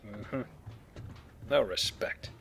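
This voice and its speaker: Noticeable noise sits behind a man with a lowish voice. He comments casually on a game he is playing, with a wry, joking manner. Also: extremely slow. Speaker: let's play commentator. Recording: clear background noise under game audio.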